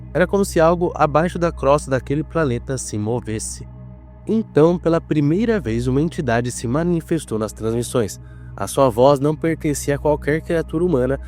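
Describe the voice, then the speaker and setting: A Brazilian man narrates a scripted horror documentary in a mid-pitched voice. His delivery is solemn and measured, with dramatic weight, and soft music plays underneath.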